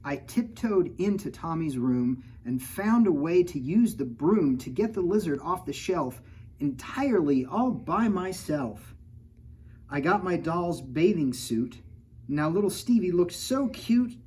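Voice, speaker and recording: A man's voice: a little high, sing-song, measured pace, a little mellow, husky, exaggerated voice. Man reading as mischievous little three-year-old girl, sing-song. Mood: playful scheming. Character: mischievous, self-justifying three-year-old girl telling her week. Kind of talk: rhyming picture-book narration.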